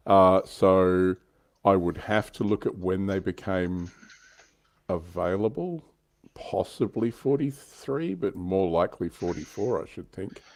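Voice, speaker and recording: A man's voice: low voice, a little slow, a little mellow. A man hosting a livestream, chatting casually and explaining details of a hobby. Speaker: livestream host.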